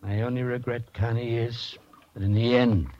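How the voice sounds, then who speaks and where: A man's voice: mid-range voice, flat delivery, moderate pace, darkish tone, natural voice. A man acting in an old-time radio drama, playing a wealthy businessman adrift at sea, weary, regretful and resigned. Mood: despairing. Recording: muffled, noisy old radio recording.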